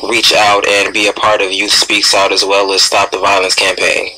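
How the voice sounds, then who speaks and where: Young american guy hosting radio, real punchy bright voice, lots of you knows, slightly noisy recording. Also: on the low side, completely flat delivery, moderate pace. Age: young adult. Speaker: radio show co-host. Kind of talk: casual radio interview hosting.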